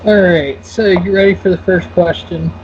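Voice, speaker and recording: A man's voice: high-pitched, fairly even intonation, moderate pace, darkish tone. A man hosting a casual online interview, loose and rambling, with fillers and self-corrections. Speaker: host and interviewer. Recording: dull lo-fi audio with faint noise.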